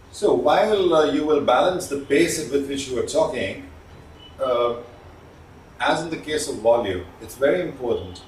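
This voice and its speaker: A man lectures as a communication trainer in a roomy space, a little away from the microphone. He delivers points deliberately, with emphasis and pauses between them, at a middling pitch. Faint noise sits behind him. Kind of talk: instructional lecture to viewers. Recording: roomy, distant mic, faint background noise.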